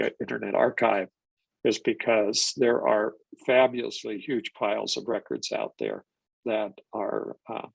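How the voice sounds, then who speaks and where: A man's voice: on the low side, vocal fry, breathy edge, no room sound, low-quality recording. An older man presenting a genealogy webinar, stuffed up from a cold, rambling with restarts and fillers. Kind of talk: live webinar lecture.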